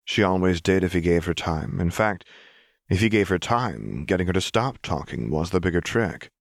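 A man narrating a scripted story as an audiobook reader, in a measured, deliberate manner. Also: low-pitched, animated, gravelly, no echo, studio quality.

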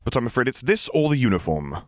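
A man's voice: mid-range voice, very animated, fast talker, a little mellow, no room sound, pitched above the performer's own voice, close to an everyday voice. A formal middle-aged navy admiral played by a male reader in a sci-fi audiobook. He is stiff and proper, wry yet faintly anxious, recorded close and dry.

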